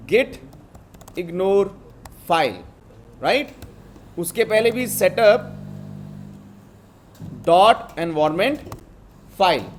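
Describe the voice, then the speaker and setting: Male programming instructor, Indian accent, high-pitched voice, slow and instructive, code-switches freely, frequent check-in tags. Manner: switches between Hindi and English; instructive, often checks understanding with tag questions. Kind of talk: step-by-step live coding lesson.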